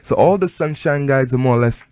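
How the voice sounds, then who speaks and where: A man with a Barbadian-Canadian accent, a rap artist talking in a radio studio interview, animated and emphatic, with frequent restarts. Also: mid-range voice, brisk, no room sound. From Barbados, raised in Canada.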